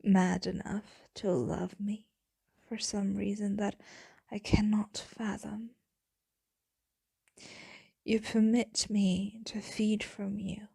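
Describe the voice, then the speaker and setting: A woman playing a tender, tormented female vampire lover in an intimate, brooding ASMR roleplay monologue, in a breathy, husky whisper. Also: medium pitch, flat delivery, no echo, clean studio sound, full character voice. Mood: tender, grateful, guilty. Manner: slow, brooding, hushed delivery.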